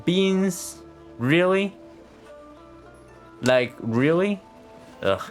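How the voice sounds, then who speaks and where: A man's voice: sits high, animated, very slow. A man giving casual live game commentary, reacting in an informal, slangy, sweary way. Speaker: game streamer. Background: game music.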